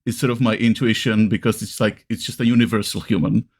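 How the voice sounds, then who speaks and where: A man with a Czech accent and a low, gravelly voice full of vocal fry. He co-hosts a casual discussion podcast, feeling his way through long, halting sentences with many restarts. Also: steady tone, a little mellow. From Czech Republic. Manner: halting, rambling, frequent self-restarts. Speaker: podcast co-host.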